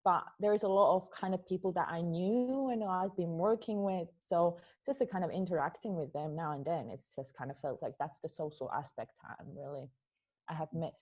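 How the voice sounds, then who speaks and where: A woman's voice: on the low side, brisk, highs cut off. A young woman from Finland with a Finnish accent, answering interview questions about her dance work, often repeating words as she searches for them. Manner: hesitant, repeats words while thinking. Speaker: dance artist.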